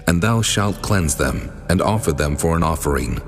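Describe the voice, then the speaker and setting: Casting: male actor for the Almighty, low solemn voice, commanding and measured, long reverb, scripture audio drama over music. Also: animated. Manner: stately, measured, authoritative pronouncements.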